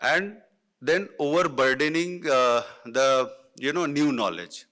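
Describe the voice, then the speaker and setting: A man's voice: fairly high pitch, fairly bright tone. A middle-aged man from north India, a native Hindi speaker with an Indian English accent, giving unscripted panel remarks full of fillers and restarts. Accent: Indian English, Hindi-influenced. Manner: halting, many fillers and restarts.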